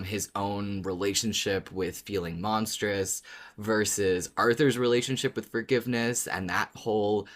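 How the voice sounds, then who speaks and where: A man's voice: on the low side, a bit bright. A man co-hosting a podcast in casual discussion, speaking thoughtfully and circling back over his words. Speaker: podcast co-host.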